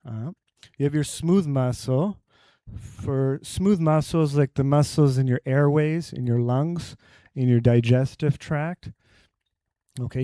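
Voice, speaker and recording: A man at a presenter's mic gives a health talk to a live audience and quizzes the room with questions. His voice is mellow and warm, and the sound is dry and close with little room echo. He speaks at an average pitch and explains through simple analogies.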